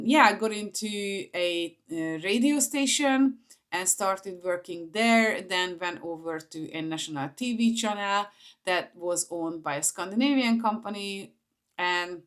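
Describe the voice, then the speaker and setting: Woman, 40s, Hungarian accent, fairly low voice, informal personal vlog storytelling. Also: fairly bright tone. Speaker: vlogger, entrepreneur.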